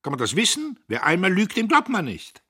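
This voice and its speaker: Brief: shrill, combative young gentleman, put on by a male narrator, raised husky voice. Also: wildly swooping pitch, fairly quick, exaggerated voice. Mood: self-righteous. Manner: shrill indignant shouting. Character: haughty young man, shrill, self-righteous, combative.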